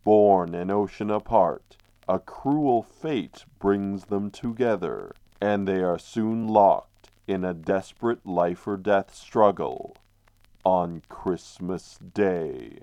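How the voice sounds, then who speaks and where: A man narrating an old-time radio drama from a script in a dramatic, deliberate, ominous manner. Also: deep voice, animated, mellow, dry recording, highs cut off. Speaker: narrator and announcer. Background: music.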